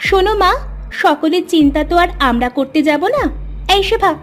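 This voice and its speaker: A woman voicing a rude, stingy young village girl in a cartoon, with sharp, scolding back-talk over music. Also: very high-pitched, animated, normal speed, pitched above the performer's own voice. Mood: snappish, defiant. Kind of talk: cartoon character acting.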